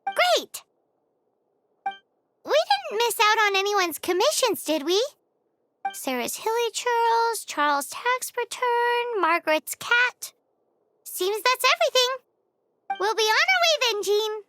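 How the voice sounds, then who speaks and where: Squeaky little fairy kid for an anime game, cheeky and indignant, super high, really animated. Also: female voice, slow, long pauses, soft background music, exaggerated voice. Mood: cheerful. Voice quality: squeaky, childlike. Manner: refers to herself in third person, exclamatory. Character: small chatty fairy-like girl companion, cheeky and indignant. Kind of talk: anime video game voice acting.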